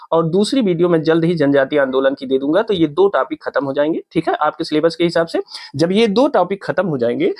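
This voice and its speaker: A man's voice: a little high, no room sound, clean studio sound. A man teaching an informal exam-prep lesson, repeating points and asking check questions as he goes. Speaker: teacher, exam coaching instructor.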